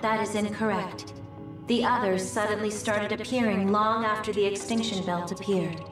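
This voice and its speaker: A woman voicing a serene archive terminal in a video game, speaking formally and with measured detachment. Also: medium pitch, fairly even intonation, lightly acted. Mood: serene, detached. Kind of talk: scripted video game voice acting. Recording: echoing distant voice under loud music.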